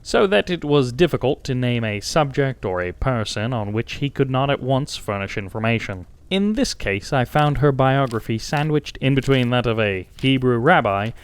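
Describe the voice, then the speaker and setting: Male narrator for a classic detective audiobook, medium pitch, literary read. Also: expressive, normal speed, hardly any pauses, clear, resonant tone.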